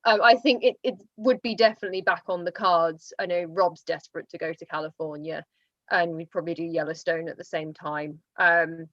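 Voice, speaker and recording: A woman's voice: lowish voice, fairly quick, no echo. Dry, lo-fi woman hosting a Q&A. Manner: teasing, lively, reads out audience questions. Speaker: interviewer and host. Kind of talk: hosting an online Q&A.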